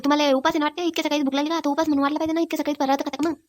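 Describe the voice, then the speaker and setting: A woman's voice: sits high, little pitch movement, a little mellow, a little hiss or hum, pitched above the performer's own voice, close to an everyday voice. A woman voicing a nagging, exasperated village wife in a cartoon comedy, scolding with a rural Maharashtrian accent. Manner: rapid-fire scolding. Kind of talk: amateur cartoon comedy dialogue.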